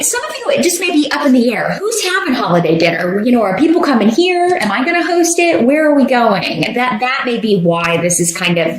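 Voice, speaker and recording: A woman's voice: mid-range voice, roomy sound, poor audio. A woman giving a casual tarot reading, rambling with many fillers and restarts. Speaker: tarot reader.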